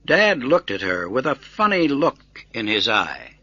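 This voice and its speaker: A man tells a story at a slow, deliberate pace with an animated, forceful voice. There is some huskiness in it. The recording is dry and somewhat dull. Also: mid-range voice, no room sound. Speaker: story narrator. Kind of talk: scripted dramatic story narration.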